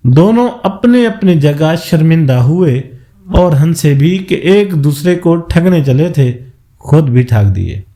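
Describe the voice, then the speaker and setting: A man's voice: a little high, sing-song, slow, mellow. A man narrating a scripted moral folk tale as a storyteller.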